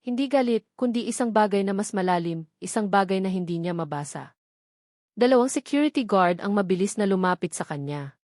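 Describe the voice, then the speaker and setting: A Filipino woman reads a dramatic story in a scripted narrator's style. Her pitch sits in the middle for a woman. The recording is dry, with very little room around the voice. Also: animated, brisk, darkish tone, no room sound.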